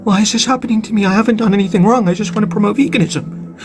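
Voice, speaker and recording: A man livestreaming who stammers and pleads in an indignant, flustered way, his voice going high and squeaky when upset. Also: rapid-fire, music in the background. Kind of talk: comedic vegan-activist livestream character.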